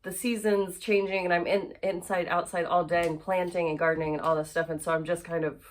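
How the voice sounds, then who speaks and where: A woman's voice: fairly low pitch, level tone, fairly quick. Chatty woman, stuffy and a bit nasal. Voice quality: slightly nasal. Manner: chatty, self-correcting with frequent fillers. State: congested from allergies. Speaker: sewing instructor. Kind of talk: casual sewalong tutorial.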